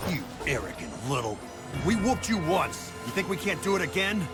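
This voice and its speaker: Scrappy, slightly high-voiced skyfarer. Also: male voice, big pitch swings, normal speed. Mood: irritated. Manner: folksy, blunt. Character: scrappy, down-to-earth male skyfarer. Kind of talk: video game dub acting. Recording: loud game music behind.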